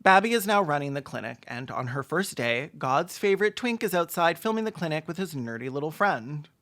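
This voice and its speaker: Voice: mid-range voice, a little fast. Sarcastic, campy comedic commentator. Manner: sarcastic, snarky, camp deadpan jokes. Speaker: video essayist and commentator.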